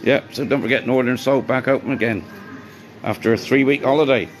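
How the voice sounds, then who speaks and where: A man chatting casually as he vlogs his day as a bar worker, rambling and greeting people as he goes, his voice going hoarse. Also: medium pitch, a little fast. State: voice getting hoarse. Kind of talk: casual walk-and-talk vlog. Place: outdoors on streets by a seafront. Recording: street noise behind the voice.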